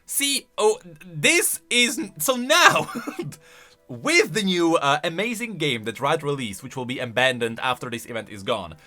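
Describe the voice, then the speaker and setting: A man's voice: on the high side, very animated, a little slow, bright, forceful tone. A man giving casual video commentary on game lore as a YouTuber, rambling along and stretching words for emphasis.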